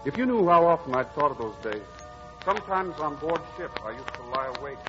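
A man's voice: on the high side, animated, brisk, lightly acted. An actor playing a breezy, impatient young man in old-time radio drama, boyish and slangy. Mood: probing, reflective. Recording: muffled old radio recording, music behind.

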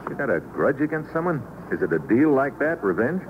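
An actor playing a tough, sardonic agent hero in 1950s hardboiled radio style, terse and unflappable. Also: male voice, medium pitch, very animated, a little fast, lightly acted. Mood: dry, probing. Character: tough, wisecracking male agent hero, held hostage. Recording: muffled, noisy old radio recording.

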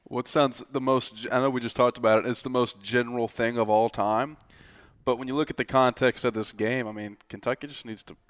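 A man's voice: medium pitch, steady tone, a little fast, big-room sound. A young man co-hosting a sports podcast in a casual, joking way. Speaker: podcast co-host.

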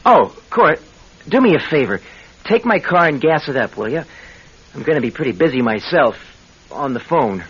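An actor playing a scheming husband, glib and coaxing, in an old-time radio drama. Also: male voice, on the high side, big pitch swings, moderate pace, fairly bright tone, slightly rough, heavily acted. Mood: breezy, coaxing. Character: scheming husband, smooth and glib, talking sweetly. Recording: muffled, hissy vintage radio recording.

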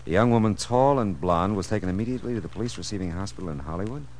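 A hiss-laden vintage radio recording carries a low-pitched, hard-boiled male detective, played by an actor. He is world-weary and wry, with a dry delivery in the old radio drama manner. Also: rapid-fire, darkish tone, natural voice, poor audio. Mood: grim. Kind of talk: 1940s radio drama acting, first-person narration.

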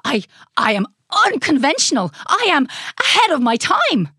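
An eccentric old man of science , acted out by a woman for a children's story. The voice is high and leaps about in pitch, stammering and exclaiming with great excitement, recorded close and dry. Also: moderate pace, no echo, exaggerated voice. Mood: indignant.

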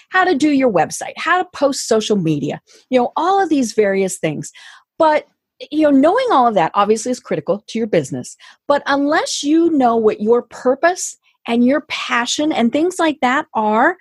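A woman's voice: sits high, husky, no room sound, clean studio sound. A woman hosting an interview podcast in a chatty, conversational way, with an enthusiastic, gushing manner. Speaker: podcast host.

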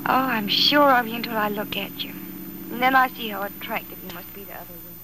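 An actress playing an affectionate, soothing young bride-to-be and daughter in a 1940s-style radio soap opera. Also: female voice, mid-range voice, fast talker, close to an everyday voice. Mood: fond, teasing. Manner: tender, earnest, soothing. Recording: noisy old radio drama recording.

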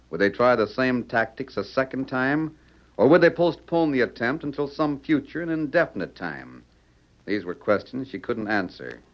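An American actor playing the grave narrator of an old-time radio Western, reading in a measured, dramatic way. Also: male voice, medium pitch, close to an everyday voice. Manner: measured, portentous. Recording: muffled vintage radio, music behind.

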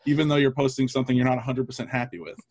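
A man's voice: medium pitch, fairly even intonation, racing, darkish tone, dry recording. A man speaking as a podcast guest, chatting in an earnest, agreeable way. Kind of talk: casual podcast chat.

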